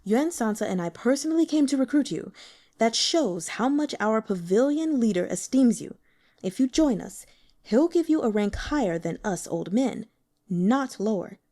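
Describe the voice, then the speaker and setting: A woman plays a persuasive older man, an elder of a secret sect, coaxing and smooth. His lines are mellow, and the pitch moves up and down with animation. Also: animated, moderate pace.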